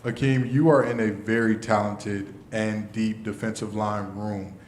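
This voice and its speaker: A man's voice: lowish voice, level tone, a little slow, slight background noise. A male sports reporter asking long, wordy questions. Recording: roomy press room.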